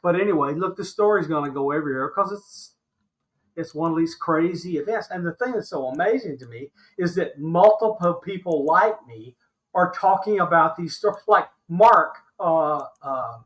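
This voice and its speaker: Interview guest: American man around seventy, forceful high voice, stresses points by repeating them. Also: lively intonation, normal speed, poor audio. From Alabama, United States. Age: older, about 70. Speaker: interview guest recounting his experiences. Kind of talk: animated conversational argument.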